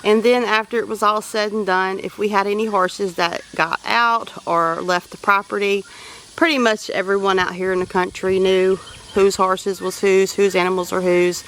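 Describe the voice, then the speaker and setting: A woman's voice: mid-range voice, steady tone, moderate pace, fairly bright tone, slight background noise. Folksy Southern woman on a farm. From Louisiana. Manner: down-to-earth, folksy, practical. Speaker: homesteader vlogger. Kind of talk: casual walking vlog. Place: outdoors on a farm.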